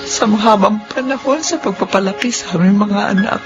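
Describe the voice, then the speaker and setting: A woman's voice: medium pitch, a little fast, close to an everyday voice. A woman playing a grieving, burdened middle-aged widow in a radio drama monologue. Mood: sorrowful, anxious. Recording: muffled, loud music behind.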